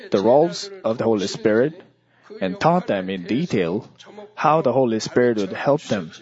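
A man delivering a church sermon in short, measured phrases, as an interpreter would. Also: mid-range voice, a little mellow. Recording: dull lo-fi audio.

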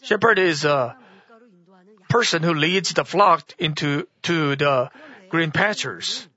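A man with a hoarse voice delivers a sermon in a halting way, often restarting his sentences. His voice sits a little high and sounds bright and sharp. The recording is dull and lo-fi. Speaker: church speaker. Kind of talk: sermon-style teaching.

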